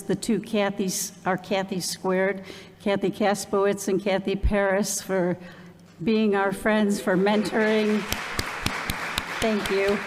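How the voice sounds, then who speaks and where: A woman hosts a parish evening, reading out welcomes and an introduction at an unhurried, deliberate pace. Her mid-range voice carries the long reverb of a church hall. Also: mid-range voice, noisy background. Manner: slow, deliberate, with ums. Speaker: event host introducing the speaker.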